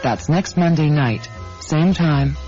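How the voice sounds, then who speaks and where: Male series announcer, scripted credit read, slightly high pitch, muffled old radio sound. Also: slow, darkish tone, soft background music. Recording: dull 1980s radio broadcast.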